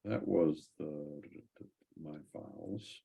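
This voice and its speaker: A man with an extremely low voice full of creak, talking casually as host of a technical group call. He explains things step by step in an informal way. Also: extremely slow, mellow. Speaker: host of a software community call.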